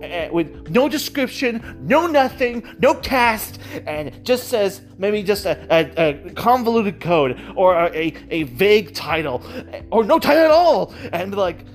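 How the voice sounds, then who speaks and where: An excitable, giggly speaker rambling casually about a favourite hobby, often repeating and stammering over words. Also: medium pitch, measured pace, faint music behind. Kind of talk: casual rambling monologue about audio dramas.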